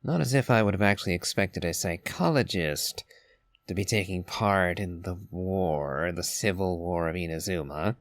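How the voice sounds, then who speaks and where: Male game streamer: low, gravelly, unhurried and musing, game music behind. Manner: measured, musing. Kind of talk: casual in-character game commentary.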